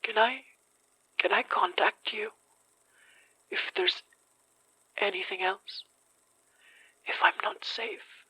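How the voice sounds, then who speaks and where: An actress playing a bewildered, frightened survivor in an audio horror drama, halting and fragile, with a husky, breathy voice. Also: female voice, mid-range voice, sing-song, bright, forceful tone, dry recording, heavily acted, rough recording. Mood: anxious, pleading. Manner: halting, fragile, near-whispering. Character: shaken, bewildered female survivor of a supernatural attack.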